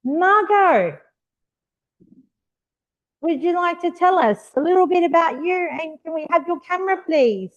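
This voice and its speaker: A woman's voice: extremely high pitch, lively intonation, a little slow, long pauses, highs cut off. A woman hosting a club meeting, encouraging and cheering members on as she calls on them. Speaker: meeting host.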